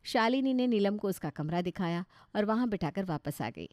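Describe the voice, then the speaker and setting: A woman with an Indian accent narrates a story. She reads scripted storybook prose at an average pitch. The recording is dry, with no room sound. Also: animated, normal speed, darkish tone, no echo.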